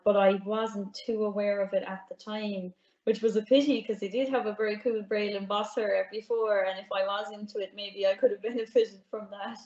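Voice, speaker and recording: A young Irish woman, a music PhD student, answering interview questions in a soft-spoken way with frequent ums. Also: mid-range voice. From Ireland. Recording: roomy, dull remote audio.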